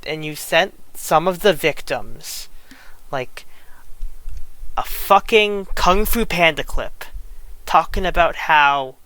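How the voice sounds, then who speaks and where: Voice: mid-range voice, animated, slow, pauses often, bright, forceful tone, dry recording, low-quality recording. An online creator of unclear sex delivering an unscripted, exasperated rant, often restarting and repeating phrases. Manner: indignant, exasperated. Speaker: online video creator venting grievances.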